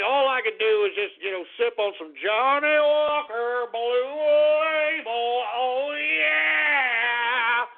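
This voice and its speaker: Hoarse, gravelly internet radio host. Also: male voice, high voice. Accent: Texan. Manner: rambling, folksy, repeats "folks". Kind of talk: casual call-in radio monologue. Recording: tinny phone-quality internet radio.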